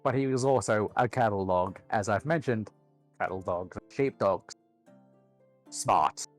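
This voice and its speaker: A man's voice: fairly low pitch, unhurried, soft background music. A man with an Australian accent, chatting casually as a guest on a group livestream.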